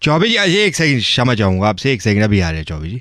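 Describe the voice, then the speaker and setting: Animated man presenting radio phone pranks. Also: on the low side, fast talker, soft background music. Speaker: radio prank-call host. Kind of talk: lively prank show presenting and calling.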